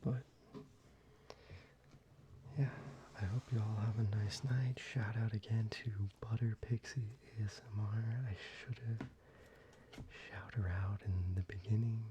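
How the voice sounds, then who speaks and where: A man talking through his card collection in a soft-spoken ASMR video, mostly whispering in a relaxed, casual way with the odd swear word. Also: lowish voice, measured pace, pauses often. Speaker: ASMR creator. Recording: slightly dull, faint background noise.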